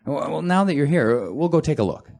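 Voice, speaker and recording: An actor playing a confident fire investigator in the style of a 1940s radio drama. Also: male voice, fairly high pitch, lively intonation, fast, vocal fry, a little mellow. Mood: confident, curious. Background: music.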